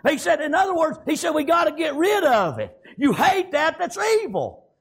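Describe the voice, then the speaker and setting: A man's voice: very high-pitched, big pitch swings, moderate pace, creaky, fairly bright tone. A man with a Southern American accent preaching a fervent revivalist sermon in a folksy way, peppered with exclamations of praise. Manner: folksy, colloquial.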